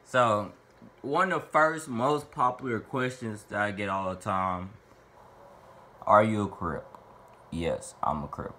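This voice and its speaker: Deep-voiced young man, loose and profane. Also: slow pace, long pauses, bright, forceful tone. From Little Rock, Arkansas. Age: 20s. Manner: loose, profane, rambling and playful. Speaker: vlogger answering fan questions. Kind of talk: casual Q&A monologue to camera. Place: small bedroom indoors.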